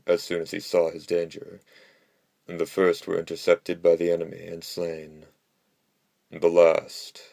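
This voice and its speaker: Very deep, monotone male narrator. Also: vocal fry, no room sound. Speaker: audiobook narrator. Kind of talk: scripted narration, reading classical history.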